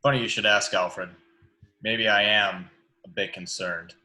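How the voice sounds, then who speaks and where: A man playing a brooding, guarded vigilante hero at a script read, terse and measured. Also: fairly low pitch, fairly even intonation, pushed and bright. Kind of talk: table read of a film script.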